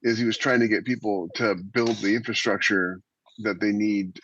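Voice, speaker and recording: A man's voice: on the low side, fairly even intonation, normal speed, lo-fi sound, very noisy. A man co-hosting a podcast, chatting casually with the others on the panel. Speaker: podcast co-host.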